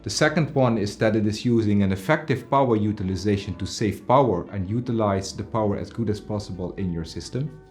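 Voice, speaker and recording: A man's voice: lowish voice, brisk, a little mellow, faint music behind. A man in his forties giving a semi-scripted product presentation to camera in an indoor studio.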